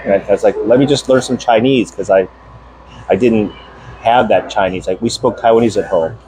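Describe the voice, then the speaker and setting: A middle-aged American man reminisces in a loose, wandering way. His voice sits on the low side, he chuckles often, and a steady hiss runs behind him. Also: moderate pace, rough recording. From United States. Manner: relaxed, rambling, laughs easily. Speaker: interview guest. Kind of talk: casual reminiscing conversation.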